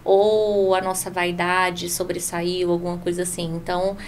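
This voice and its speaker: A woman's voice: on the low side, monotone, normal speed, slight background noise. A middle-aged Brazilian woman giving conversational, explanatory answers as a business owner in a podcast interview, with frequent tag questions. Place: small padded podcast studio. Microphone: studio mic on boom arm.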